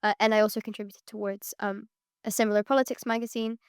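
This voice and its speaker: A young woman in her late teens, a student panelist answering questions in a measured way with frequent ums. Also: mid-range voice, little pitch movement, clear, resonant tone, dry recording, clean studio sound.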